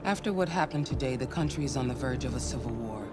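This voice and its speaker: Female AI overseer, very low pitch, composed, formal, controlling, loud music behind. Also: expressive, fast. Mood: composed, grave. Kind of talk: video game drama acting.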